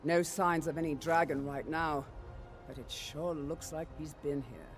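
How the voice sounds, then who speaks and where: Stern warrior woman, authoritative, brisk orders, fantasy game, music behind. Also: low voice, steady tone. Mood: grim, resolute. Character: stern, commanding female warrior officer facing a dragon. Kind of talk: video game voice acting.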